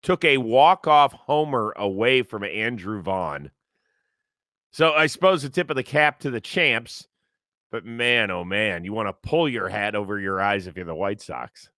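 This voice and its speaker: Guy hosting a sports show, kinda hoarse, bright punchy voice, dry studio sound. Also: on the low side, unhurried, dry recording. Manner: lively, animated, reads ad copy with energy. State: a little hoarse from lack of sleep. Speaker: host. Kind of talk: casual sports talk show.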